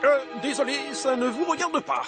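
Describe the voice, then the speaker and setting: Un acteur qui joue, en français, un vieux chef pompier nostalgique et fanfaron, qui bafouille de façon théâtrale dans un dessin animé. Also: male voice, extremely high pitch, moderate pace, vocal fry, loud music behind the voice. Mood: flustered, evasive. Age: older. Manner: blustering, stammering, theatrical. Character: excitable, nostalgic, blustering old male fire chief. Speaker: fire chief. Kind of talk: animated children's cartoon dub.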